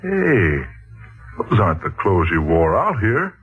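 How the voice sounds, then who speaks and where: Jovial radio-play husband, low and folksy, very animated delivery. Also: male voice, slow, heavily acted. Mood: easygoing, curious. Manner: hearty, folksy, teasing. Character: jovial, reassuring American husband hosting friends. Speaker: radio drama actor. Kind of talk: 1940s radio acting. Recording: tinny vintage 1940s radio recording.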